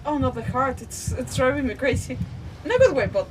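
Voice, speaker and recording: Woman streamer, slightly high, distant mic. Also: sing-song, a little fast, background noise. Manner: reactive, short interjections. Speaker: game streamer. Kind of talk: casual live gameplay commentary. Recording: distant mic, game music behind.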